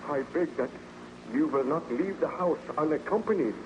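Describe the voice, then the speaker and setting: A man's voice: fairly high pitch, normal speed, lightly acted. An actor playing a haunted, fearful young nobleman in an old-time radio drama, speaking in a hesitant, melodramatic, evasive way. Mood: anxious, urgent. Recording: noisy, muffled old-time radio recording.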